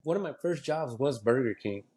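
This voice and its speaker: A man's voice: medium pitch, animated, a little fast, a little mellow. A young man from Texas hosting a podcast in casual chat. He swears freely and laughs often. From Texas, USA. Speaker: podcast host.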